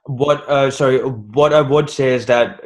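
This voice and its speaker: A man's voice: medium pitch, steady tone, normal speed. A man on a webinar panel, giving casual advice as a doctor with frequent ums and restarts over a video call. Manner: hesitant, many ums and restarts.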